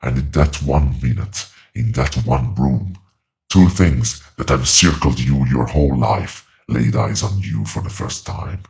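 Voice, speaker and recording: Ancient otherworldly male entity, horror audio drama. Extremely low, gravelly, solemn and relentless. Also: animated, darkish tone, full character voice. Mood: solemn, confessional. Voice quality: very deep, gravelly creaking voice. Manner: slow, deliberate, ominous pronouncements.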